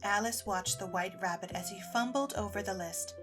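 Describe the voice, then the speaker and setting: A woman's voice: medium pitch, moderate pace. A woman reading scripted storybook narration as the narrator of an audio drama. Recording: music under the voice.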